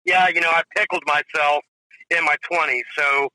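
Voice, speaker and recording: Bright-voiced drummer man in his forties. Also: a little high, steady tone, moderate pace, no echo, poor audio. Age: 40s. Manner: rambling, fillers like "you know" and "if you will". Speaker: drummer guest. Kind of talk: casual interview storytelling.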